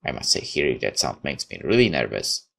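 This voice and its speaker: A man's voice: a bass voice, big pitch swings, a little fast, gravelly, a bit bright. A man doing a let's play, reading a visual novel aloud with wry, joking asides and a dramatic delivery that sometimes stumbles. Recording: music behind the voice. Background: game music with guitar.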